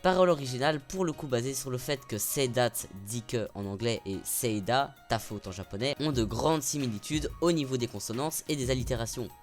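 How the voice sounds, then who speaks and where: A man's voice: mid-range voice, brisk, few pauses. Sarcastic man reviewing a stage musical. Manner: opinionated and sarcastic, with sudden heated outbursts. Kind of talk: scripted video review. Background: faint background music.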